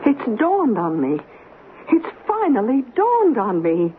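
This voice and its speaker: Slow, expressive, worried wife. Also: female voice, a little high, faint music behind, exaggerated voice. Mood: dawning realization. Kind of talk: old-time radio drama acting. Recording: phone-like, noisy old radio recording.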